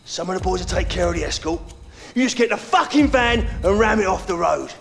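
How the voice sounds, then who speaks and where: A man playing a menacing, middle-aged gangland boss in a crime game, with a gravelly London accent and a commanding, cruel manner. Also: high-pitched, animated, brisk, a bit bright, slight background noise, full character voice. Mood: threatening orders. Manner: menacing, swearing gang boss. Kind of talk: game cutscene acting.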